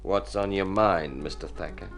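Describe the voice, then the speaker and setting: An old radio play with faint music, where a young drifter. The voice is low, and he answers in terse, guarded, stubborn fashion. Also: normal speed, a bit bright. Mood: wary, terse. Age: young adult. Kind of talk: radio drama acting.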